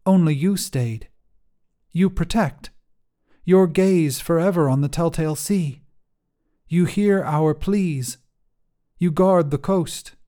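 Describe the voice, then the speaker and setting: A man's voice: a little high, slow, pauses often, warm tone, pitched above the performer's own voice. A man voicing a small, earnest child in a short story, speaking in a plain, insistent manner. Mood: earnest, reverent. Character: small earnest child, matter-of-fact, questioning a statue-like immortal. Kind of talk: short fiction narration.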